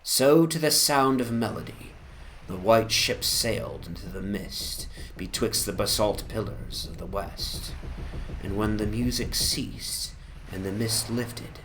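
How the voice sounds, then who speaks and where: A man's voice: mid-range voice, a little hiss or hum, poor audio. A man narrating a horror fantasy story in a solemn, theatrical dramatic reading. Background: prominent music soundscape.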